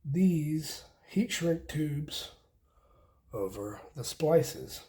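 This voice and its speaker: Man, slightly high voice, slow pace, folksy, casual DIY vlog host, thinking aloud. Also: lively intonation, mellow. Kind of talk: casual hands-on demonstration to camera.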